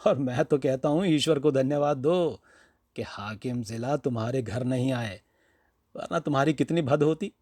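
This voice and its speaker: A man narrating a story in an expressive, conversational way, dramatizing rhetorical questions as he goes. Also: mid-range voice, normal speed, dry recording, studio quality. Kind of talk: expressive audiobook-style storytelling.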